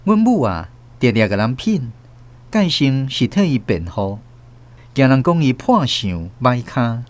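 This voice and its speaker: Animated Taiwanese male storyteller. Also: mid-range voice, unhurried. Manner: expressive storytelling. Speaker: narrator and host. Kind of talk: scripted radio novel narration.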